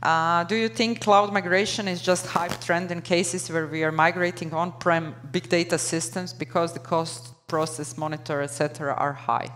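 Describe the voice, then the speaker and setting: A woman's voice: fairly low pitch, steady tone, moderate pace, hardly any pauses, a bit bright, a little hiss or hum. Woman moderating a conference panel. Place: conference stage before an audience.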